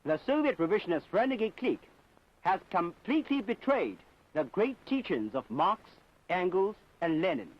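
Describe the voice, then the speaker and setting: A man's voice: high-pitched, big pitch swings, normal speed. A man narrating a scripted propaganda documentary in a solemn, emphatic, declamatory manner. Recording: dull 1960s film soundtrack.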